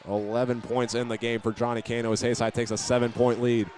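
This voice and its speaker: A man doing live play-by-play commentary of a high school basketball game as the announcer. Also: level tone, fast talker, darkish tone. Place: indoor high school gymnasium. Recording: noisy gym ambience behind.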